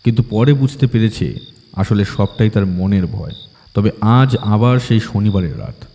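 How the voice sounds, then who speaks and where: Casting: man narrating a horror audiobook, warm dark lowish voice, night insects faintly behind. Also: lively intonation, normal speed, slight background noise. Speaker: story narrator.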